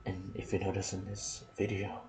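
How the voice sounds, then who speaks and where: A man giving soft-spoken ASMR commentary on a video game, slipping into whispers and pausing between short phrases. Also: low-pitched, monotone, rough recording. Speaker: gaming channel host.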